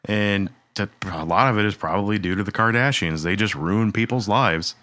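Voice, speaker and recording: A man's voice: lowish voice, normal speed, sharp tone, no echo. A man co-hosting a music podcast, chatting in an easygoing way, joking and laughing a lot. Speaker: podcast co-host. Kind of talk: casual chat.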